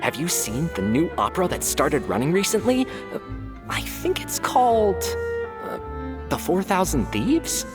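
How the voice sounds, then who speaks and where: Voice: mid-range voice, big pitch swings, measured pace. An actor voicing a friendly, easygoing young agent who chats hesitantly with fillers, over game music. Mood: chatty.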